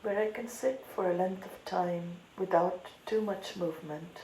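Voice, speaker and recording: A woman meditation teacher leading a guided meditation calmly and deliberately, with long pauses between phrases. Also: lowish voice. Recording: roomy echo, light background noise.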